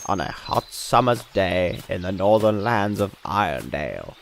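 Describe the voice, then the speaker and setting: A man's voice: deep voice, sing-song, unhurried, creaky, a little hiss or hum, full character voice. A man playing a solemn narrator, reading a scripted fantasy tale in storybook style.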